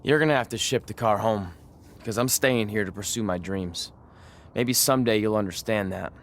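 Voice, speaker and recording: An actor playing an earnest, stubborn young aspiring wrestler in a video game, with a boyish, pleading manner. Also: male voice, fairly low pitch, moderate pace, slight background noise, lightly acted. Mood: defiant. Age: young adult.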